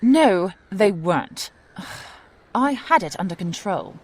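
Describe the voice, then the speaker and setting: Feisty stubborn woman, animated pitch, argumentative, radio drama. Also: slow, husky. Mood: indignant, incredulous. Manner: argumentative, indignant.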